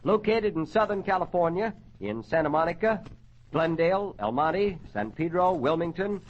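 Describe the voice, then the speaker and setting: A man's voice: a little high, expressive, normal speed, dull audio. A man hosting a 1940s radio variety show, announcing and reading ads with folksy, joking showman patter on an old, noisy recording.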